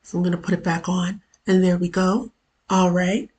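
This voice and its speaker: A woman with a hoarse, worn-out voice, casually chatting as host of her own live stream during a work lunch break, with chatty self-deprecating asides. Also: lowish voice, level tone, normal speed, darkish tone. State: hoarse, lost voice from illness. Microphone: camera mic.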